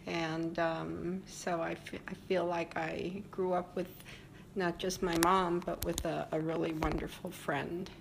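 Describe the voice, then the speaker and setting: An older woman being interviewed about her family history, speaking reflectively and pausing often with fillers. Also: fairly low pitch, flat delivery, vocal fry, noisy background. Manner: reflective, hesitant with frequent fillers.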